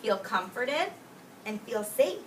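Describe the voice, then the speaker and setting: A woman teacher reading a picture book aloud to young children, expressively and deliberately, drawing out key words and explaining simply. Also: fairly high pitch, animated, fairly bright tone. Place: indoors in a classroom with young children. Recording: roomy distant mic with faint background noise.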